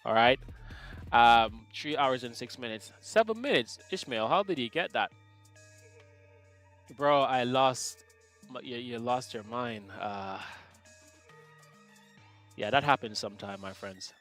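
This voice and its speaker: A man's voice: medium pitch, takes it slowly, long pauses, a bit bright, soft background music. A man from Jamaica hosting a live trading stream, chatting casually and joking with viewers in the chat. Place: home setup, UK.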